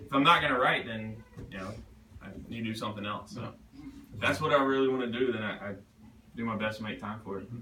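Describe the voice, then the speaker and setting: Male author, bright voice, halting and self-deprecating, informal Q&A, roomy distant pickup. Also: lowish voice, a little hiss or hum. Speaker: author at a reading. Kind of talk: casual question-and-answer chat. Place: bookstore event space. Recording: roomy, echoey, picked up from afar.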